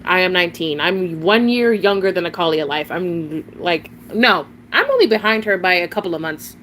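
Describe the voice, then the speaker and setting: A woman's voice: fairly low pitch, expressive, normal speed, fairly bright tone, a little hiss or hum. A nineteen-year-old woman streaming live, rambling casually, chatting with viewers and often giggling and restarting her sentences.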